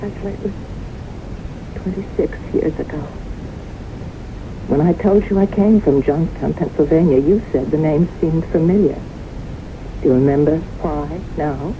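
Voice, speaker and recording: An actress playing a haunted, guilt-ridden woman with slow, deliberate, dramatic delivery in a 1970s radio drama. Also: natural voice. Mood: sombre, guilty. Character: haunted guilt-ridden woman recalling her doomed youth. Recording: noisy, rumbling, muffled 1970s radio recording.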